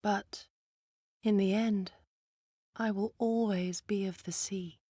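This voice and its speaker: A woman playing an earnest, dutiful ninja resistance fighter in a video game, speaking in a solemn, measured and formal way. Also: mid-range voice, fairly even intonation, mellow, dry recording, clean studio sound. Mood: solemn, wistful. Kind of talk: dramatic video game acting.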